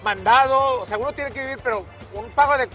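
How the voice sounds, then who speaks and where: A man's voice: very high-pitched, fairly quick, pushed and bright, faint music behind. A Mexican man in his thirties, a boxer co-hosting a car ad on an outdoor lot, teasing and repeating phrases. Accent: Mexican Spanish. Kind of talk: joking sales banter.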